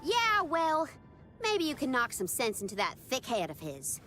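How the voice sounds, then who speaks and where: A woman voicing a brash, hot-tempered young adventurer in a video game, blunt and quick to scold. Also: high-pitched, wildly swooping pitch, pushed and bright, faint music behind, full character voice. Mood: grudging. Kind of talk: video game cutscene acting.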